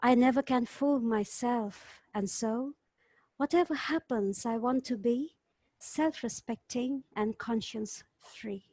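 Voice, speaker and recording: Female poetry-group member, higher-pitched, on a video call with a very dry, echo-free sound. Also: slow pace, a little mellow. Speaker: poetry group member reading a chosen poem. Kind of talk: casual discussion and poetry recitation at an online gathering.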